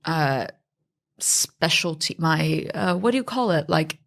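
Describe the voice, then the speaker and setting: A woman from Silicon Valley, a fintech founder chatting as an interview guest, self-deprecating and quick to laugh. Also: sits low, animated, vocal fry, a bit bright. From Silicon Valley, USA. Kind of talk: conversational interview.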